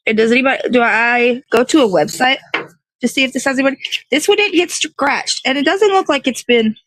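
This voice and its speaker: A woman's voice: high voice, a little fast, a bit bright, dry recording, low-quality recording. A woman hosting a casual livestream outdoors, chatting in a meandering way as she thinks aloud. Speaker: host of an online morning show. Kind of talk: casual rambling livestream chat. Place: outdoors in the cold.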